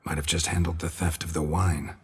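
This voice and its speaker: An actor playing a calm, menacing monster hunter in a video game, with a husky rasp and terse deadpan delivery. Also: male voice, sits low, a little fast. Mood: calm reasoning. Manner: deadpan, terse, clipped sentences. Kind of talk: understated video game acting.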